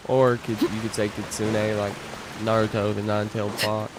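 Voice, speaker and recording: A man's voice: on the low side, little pitch movement, moderate pace, noisy background. A young man streaming a game, chatting casually and rambling to his viewers. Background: game music.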